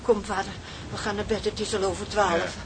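A woman's voice: medium pitch, level tone, fairly quick, background noise, natural voice. An actress playing an anxious, pious older mother of a seafaring family in a radio drama, fretful and devout. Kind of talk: Dutch radio drama acting.

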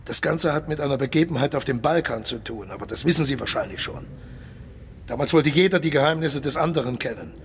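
A cynical spy colonel from a radio drama, played by a man, with a gravelly creak in a mid-pitched voice. He is courteous on the surface and condescending underneath, pressing his point with quiet menace. Faint noise sits in the background. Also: expressive, fast, close to an everyday voice. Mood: cynical, insistent. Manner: polite, insistent, condescending, menacing. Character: suave, cynical mercenary colonel and veteran male spy. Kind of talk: German radio play acting.

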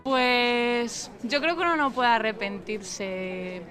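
A woman's voice: fairly high pitch, fairly even intonation, takes it slowly. A woman from Madrid with a Madrid Spanish accent, answering interview questions hesitantly, with many fillers and restarts. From Spain. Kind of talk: casual interview. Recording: loud music behind.

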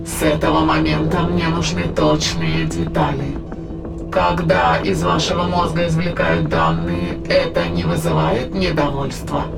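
A deeply fried, crackling voice belongs to a calm alien schemer. He speaks formally and probes with measured care. Loud music runs behind this science fiction audio drama. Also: gravelly. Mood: probing. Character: calm, calculating male alien intruder.

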